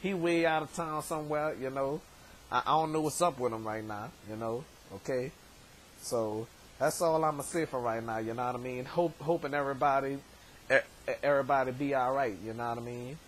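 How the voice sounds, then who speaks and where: Man, hoarse, thirties, rambling repeats, bedroom webcam, light hiss. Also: medium pitch, a little fast, low-quality recording. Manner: rambling, many stammered repeats. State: hoarse, voice worn from shouting. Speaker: show host. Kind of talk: casual vlog shout-outs. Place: bedroom, indoors. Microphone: webcam at desk distance.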